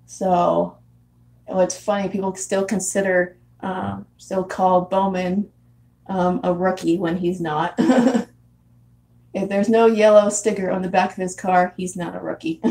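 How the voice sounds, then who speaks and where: A young woman in her twenties, originally from San Diego, talks through a relaxed vlog, reading viewer comments and chatting. Her voice sits fairly low with a warm, mellow tone and a strong gravelly fry. She laughs often and leans on plenty of "um"s and "like"s. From San Diego, California. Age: 20s. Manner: chatty, frequent laughs and fillers. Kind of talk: casual live chat reading comments. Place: house in Arizona.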